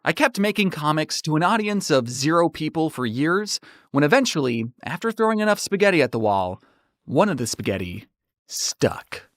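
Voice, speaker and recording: A man narrating his own comedic story in an animated, playfully sarcastic way, like a scripted online video. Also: on the high side, normal speed, dry recording, studio quality.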